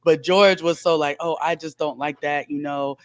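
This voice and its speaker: A woman's voice: deep voice, steady tone, moderate pace. A woman in her forties co-hosting a casual podcast chat, who laughs often and reads out viewer comments. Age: 40s. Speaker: podcast co-host.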